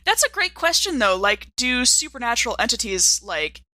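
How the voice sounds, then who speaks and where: Bright, higher-voiced woman in casual banter. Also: very animated, normal speed, no echo. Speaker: player on a game podcast.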